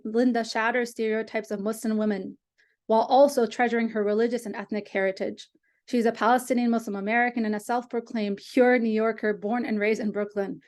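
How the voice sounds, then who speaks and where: A woman's voice: a little high, darkish tone. A woman moderating a webinar, reading polished speaker introductions and questions from a script over a video call.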